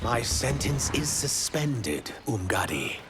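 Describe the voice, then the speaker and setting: A man voicing a proud, defiant reptilian fugitive warrior in a fighting game, speaking gravely and formally. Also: mid-range voice, very animated, slow pace. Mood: resolute. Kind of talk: cinematic fighting game acting. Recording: loud cinematic music behind.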